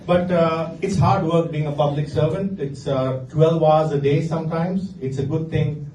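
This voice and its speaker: A middle-aged man from India with an Indian accent, performing a stand-up comedy set with a dry, deadpan delivery. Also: on the high side, normal speed, noisy background. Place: comedy venue with live audience. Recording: echoey room, distant mic, faint ambience.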